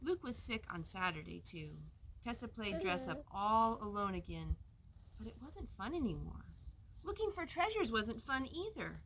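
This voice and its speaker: A woman reading a children's story aloud in an expressive way, putting questions to her young listeners. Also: fairly high pitch, normal speed, crowd noise, rough recording.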